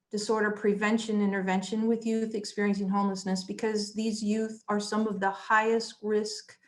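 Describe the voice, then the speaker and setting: Mid-pitched woman professor, earnest and steady panel speaker. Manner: earnest, steady, occasional ums. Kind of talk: online panel introduction.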